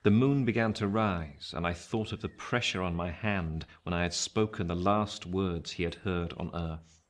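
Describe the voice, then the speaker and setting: Actor as deep-voiced wistful gentleman narrator. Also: moderate pace, lightly acted. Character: older Pip, reflective gentleman narrator looking back on life. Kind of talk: scripted literary narration in a radio play.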